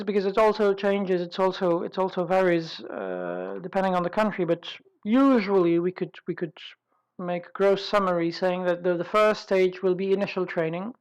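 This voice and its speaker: A woman presenting an informal webinar, explaining things in a deliberate way with frequent pauses and rephrasing. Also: medium pitch, rough recording.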